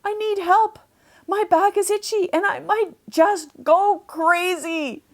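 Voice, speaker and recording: A woman voicing a gentle young male manatee in a children's storybook, pleading plaintively about a bad itch. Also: very high-pitched, takes it slowly, pitched above the performer's own voice, exaggerated voice. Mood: desperate pleading.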